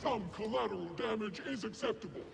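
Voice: medium pitch, fairly quick, gravelly, background noise. An actor voicing a rigid, obedient battle droid in a video game, clipped and emotionless, through a robot filter. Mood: stern, robotic. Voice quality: electronic, grating.

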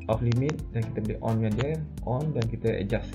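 Casting: Malaysian man, forties, low creaky voice, informal hesitant product explainer who mixes in English terms. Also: steady tone, fast talker, over music. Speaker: product reviewer. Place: medium-sized indoor office.

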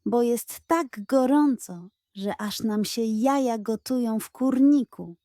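A woman's voice: on the high side, slow, warm tone, no room sound, studio quality. A woman voicing a nosy, fussy, clucking hen in a children's audio fairy tale. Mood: curious, eager. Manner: clucking, inquisitive.

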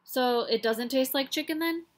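A woman's voice: sits high, normal speed, close to an everyday voice. A woman voicing a small, curious, innocently pestering young duckling in a children's storybook, full of questions. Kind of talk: children's picture-book read-aloud.

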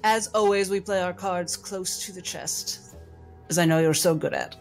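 A woman voicing a shrewd, imperious lady doctor with a genteel, measured way of talking in a tabletop game. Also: lowish voice, faint music behind, natural voice. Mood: shrewd, composed.

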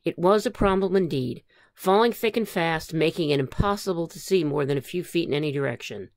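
A woman reading a children's story aloud in an expressive way. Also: deep voice, brisk. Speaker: storybook reader.

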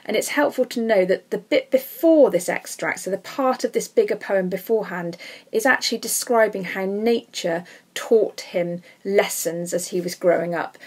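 A woman's voice: mid-range voice, a little mellow. A woman teaching English literature in an informal, explanatory lesson voice-over.

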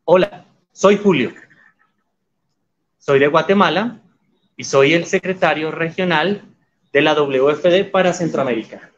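A man voicing guests' introductions in live interpretation, even and measured. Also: fairly high pitch. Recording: slightly muffled audio.

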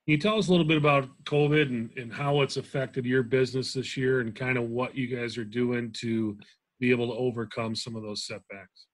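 A man's voice: medium pitch, steady tone, brisk. A man hosting a podcast, asking his guest friendly, open questions. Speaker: podcast host. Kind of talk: interviewing a guest.

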